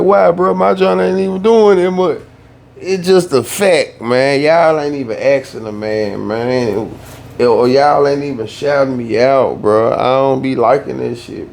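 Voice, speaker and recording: Male vlogger, gravelly voice, loose slangy rant, echoey room with faint hum. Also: medium pitch, darkish tone. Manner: loose, slangy, repeats himself for emphasis. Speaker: YouTube storyteller. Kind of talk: casual ranting vlog.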